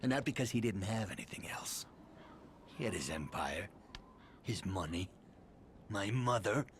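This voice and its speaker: Game role, embittered tycoon's son; low register, brooding and ominous storyteller, trailing into hush, slight ambience behind. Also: male voice, animated, a little slow. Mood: bitter, brooding. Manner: ominous, brooding storytelling with hushed phrase endings. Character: brooding, bitter heir of a sinister amusement-park tycoon. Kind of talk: video game cutscene monologue. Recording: faint background ambient noise. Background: faint ambience.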